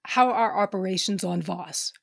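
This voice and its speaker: A woman's voice: mid-range voice, moderate pace, gravelly, no echo. A woman playing a shrewd, guarded smuggler captain in an audio drama, speaking in a blunt, commanding way. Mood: demanding, guarded. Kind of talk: audio drama acting and first-person narration.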